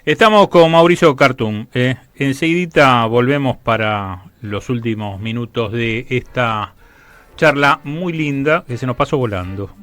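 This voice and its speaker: Conductor argentino, sonido seco de estudio. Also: male voice, mid-range voice, a little slow, fairly bright tone, no echo. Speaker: radio host. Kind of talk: casual interview chat.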